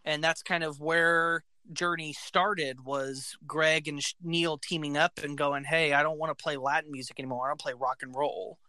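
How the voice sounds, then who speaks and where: Bright high-voiced man, eager music talk. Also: fairly even intonation, moderate pace, hardly any pauses, no room sound. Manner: enthusiastic, fact-filled, says "like" often. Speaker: podcast co-host. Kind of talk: casual music chat.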